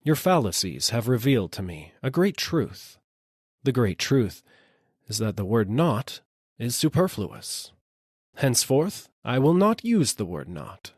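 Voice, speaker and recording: A man's voice: mid-range voice, very animated, measured pace, no room sound, studio quality. A man voicing an argumentative, logic-minded philosopher in a storybook, emphatic and formal in his declamation. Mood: triumphant, emphatic.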